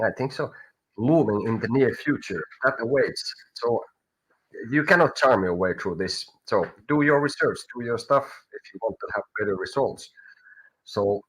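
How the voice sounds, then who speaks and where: A man with a Finnish accent doing a live tarot reading, halting and searching for words as he goes. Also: medium pitch, animated, husky, rough recording. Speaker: tarot reader.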